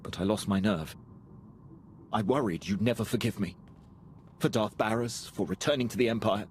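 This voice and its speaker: An actor playing a formal, deferential and anxious imperial military officer in a video game, with measured speech. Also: male voice, lowish voice, a little mellow, slight background noise, lightly acted. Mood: formal, regretful. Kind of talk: cinematic video game voice acting.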